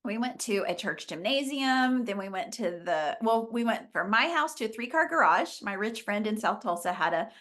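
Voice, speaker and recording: A middle-aged woman from Oklahoma, a business founder chatting as a guest, telling her story with quick laughs. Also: mid-range voice, lively intonation, fairly bright tone. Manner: chatty, laughs often, upbeat. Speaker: franchise founder, interview guest. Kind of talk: casual storytelling.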